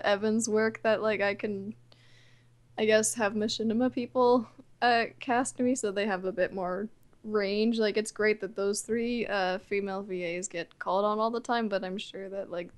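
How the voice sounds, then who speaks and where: A woman's voice: mid-range voice, steady tone, normal speed. A woman chatting casually as a podcast guest, informal and giggly, peppering her talk with "like". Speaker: podcast guest, amateur voice actor. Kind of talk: casual chat.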